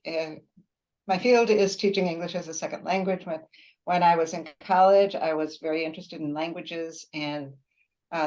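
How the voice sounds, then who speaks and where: Older woman, academic, lowish voice, measured, halting, conversational webinar talk. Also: darkish tone, low-quality recording. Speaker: university professor. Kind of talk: personal background told on a webinar. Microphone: video call.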